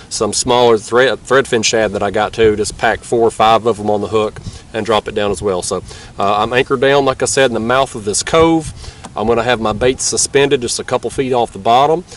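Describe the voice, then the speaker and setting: A laid-back young man with a stuffy, nasal voice, talking easily in a relaxed drawl. He sits a little back from the camera mic outdoors at night, with a faint hiss of river ambience behind him. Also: fairly low pitch, recorded from a distance. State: nasal from a cold. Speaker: fishing vlogger. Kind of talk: casual outdoor vlog narration.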